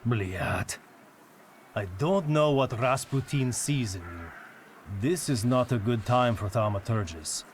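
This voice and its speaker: A man's voice: mid-range voice, animated, takes it slowly. An actor playing a brusque, cryptic henchman in a video game, curt and commanding. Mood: stern, cryptic. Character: brusque, cryptic male henchman of a mystic master. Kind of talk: dramatic video game acting. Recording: clear background noise.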